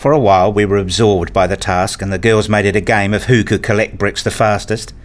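Low-voiced man narrating a radio play. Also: steady tone, brisk. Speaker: narrator and father in an audio play. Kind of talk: scripted first-person narration with acted dialogue.

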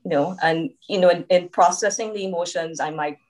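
A woman with a notably low voice and a subtle Trinidadian lilt, speaking as a coach on a podcast. She talks reflectively and keeps checking in with a quick "right?". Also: a little mellow, rough recording. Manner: reflective, frequent tag "right?". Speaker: coactive coach, podcast guest. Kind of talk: conversational interview.

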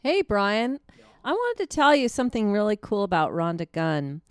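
A woman's voice: medium pitch, expressive, normal speed, clear, resonant tone, dry recording, clean studio sound, soft background music. A woman co-hosting and interviewing in a casual, chatty style. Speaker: podcast co-host and interviewer. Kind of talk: casual friendly chat.

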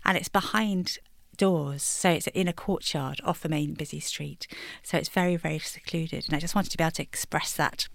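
A woman's voice: deep voice, steady tone, normal speed, vocal fry, no echo. A woman poet from England answering interview questions thoughtfully, laughing lightly between thoughts. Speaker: poet guest.

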